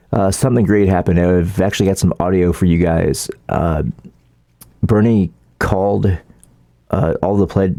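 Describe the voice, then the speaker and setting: A man giving casual, opinionated political commentary as a vlogger, in an informal, halting, rambling way. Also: deep voice, warm tone, no room sound, clean studio sound.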